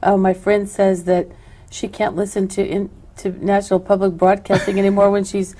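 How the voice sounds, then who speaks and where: Mellow-voiced chatty woman host. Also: mid-range voice, little pitch movement, normal speed. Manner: rambling, chatty questions with many "you know"s. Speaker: TV show host. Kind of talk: casual interview. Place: local cable TV studio.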